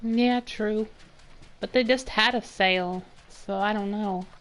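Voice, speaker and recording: A woman's voice: medium pitch, fairly even intonation, slow, fairly bright tone. A woman game streamer chatting casually while she plays. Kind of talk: casual chat over gameplay.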